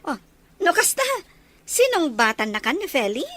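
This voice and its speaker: A woman acting a caring older sister in a radio drama, animated and solicitous. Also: high-pitched, moderate pace. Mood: pleased, curious.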